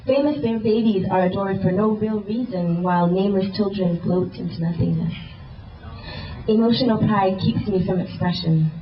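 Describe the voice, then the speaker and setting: A young woman reads her spoken word poetry in a rhythmic, earnest, inward-looking way. She is picked up from far off in an echoey event hall. The sound is muffled, with a faint hiss of the room behind her. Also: mid-range voice. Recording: roomy, distant, muffled live recording.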